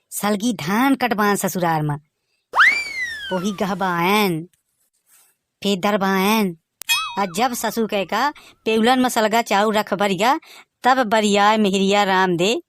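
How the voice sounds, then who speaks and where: Man voicing a folksy Bagheli village husband. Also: high-pitched. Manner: folksy, joking, exclaiming. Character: boastful rustic village husband and narrator. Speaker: cartoon narrator.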